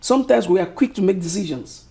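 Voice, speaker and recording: A middle-aged man from Cameroon with a Cameroonian accent, answering interview questions slowly and thoughtfully, often searching for words. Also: sits high, animated, gravelly, warm tone, husky. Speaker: author guest.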